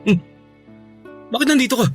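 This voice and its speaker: An actor playing a stern, curt, exasperated young ex-boyfriend in a radio drama. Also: male voice, extremely high pitch, lively intonation, normal speed, over music. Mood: irritated, curt. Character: stern, fed-up, career-minded young ex-boyfriend.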